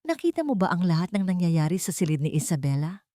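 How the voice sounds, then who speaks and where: A woman's voice: fairly low pitch, sing-song, fast talker, warm tone, dry recording, studio quality. A woman voicing a worried, stern wealthy father in story dialogue, grave and pressing. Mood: probing.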